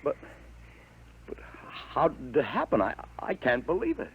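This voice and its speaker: A man playing a bewildered, doubting father in a 1950s radio drama, speaking haltingly and in disbelief. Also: medium pitch, huge pitch swings, slightly rough, highs cut off, exaggerated voice. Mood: skeptical. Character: bewildered middle-aged father.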